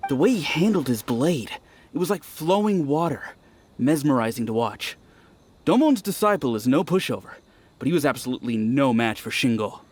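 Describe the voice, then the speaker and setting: A man's voice: medium pitch, very animated, moderate pace, a little mellow. An actor voicing an eager, chatty young villager man in a game, who loves telling what he saw.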